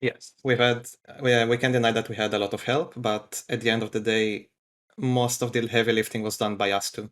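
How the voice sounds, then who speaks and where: A man, a game developer guest on a podcast, talking in a relaxed way with many pauses. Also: mid-range voice. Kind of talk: casual podcast interview.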